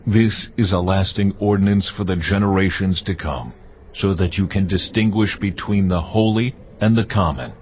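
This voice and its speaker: A man acts a divine lawgiver in a scripture drama, very low in pitch, grave and authoritative. The sound is somewhat muffled, with faint ambient noise around the measured words. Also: natural voice. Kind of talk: dramatised audio Bible acting.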